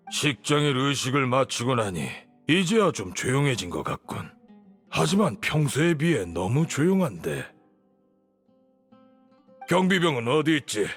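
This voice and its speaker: An actor playing an imperious, suspicious older grand sage in a game, with a haughty, commanding manner. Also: male voice, mid-range voice. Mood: uneasy, brooding. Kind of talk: dramatic Korean game voice acting.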